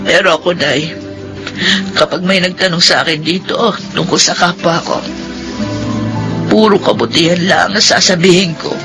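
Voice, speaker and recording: A woman's voice: mid-range voice, lightly acted. An actress playing an anguished middle-aged Filipino mother in a radio drama, with an emotive, pleading delivery. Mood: grave, earnest. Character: guilt-ridden, anguished mother of grown children. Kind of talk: Tagalog radio drama acting. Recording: music under the voice.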